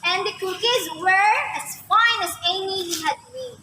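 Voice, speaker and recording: An extremely high-pitched female voice narrates a children's tale from a script. The room is echoey and noise is clearly audible. It is delivered as readers theater. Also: normal speed.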